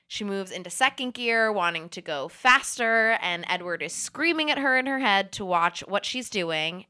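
A woman's voice: medium pitch, pushed and bright. A congested woman podcast host recapping a book in casual, profane, sarcastic chat.

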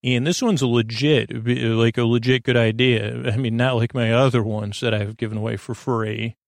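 Gravelly guy doing a sleepy-time podcast, rambles and trips over words, super dry close sound. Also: mid-range voice, steady tone, no echo. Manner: meandering, halting, stammering, often drifting off topic. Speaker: podcast host. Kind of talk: rambling, casual bedtime podcast monologue.

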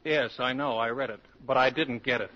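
Suave, persistent male professor, bright animated delivery, smooth talker, muffled 1940s radio comedy. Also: mid-range voice, brisk. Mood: coaxing, assured. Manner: smooth-talking, insistent. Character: suave, flirtatious male college professor, persistent and self-assured.

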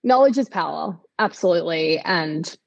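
Gravelly, lower-voiced woman podcast host. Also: lively intonation, moderate pace, dry recording. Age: 30s. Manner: candid, long-winded storyteller. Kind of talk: casual conversational podcast chat. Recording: slightly dull makeshift mobile setup.

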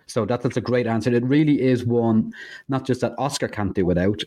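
A man's voice: mid-range voice, fairly quick, warm tone. A man co-hosting a podcast, enthusiastic and often stammering over restarts as he asks questions. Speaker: podcast co-host. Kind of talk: podcast interview.

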